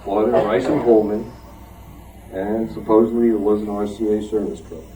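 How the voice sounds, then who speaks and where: A man giving a casual, halting show-and-tell talk to a group, telling anecdotes as he goes. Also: fairly low pitch, fairly even intonation, noisy background. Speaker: club member at show and tell. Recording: distant room microphone.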